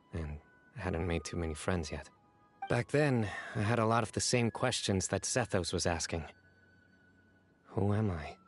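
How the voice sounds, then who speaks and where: Stern young game guardian: lowish voice, measured and deadpan, self-assured, music underneath. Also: male voice. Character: stern, composed young male guardian, quietly fond of friends. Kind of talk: game voice acting.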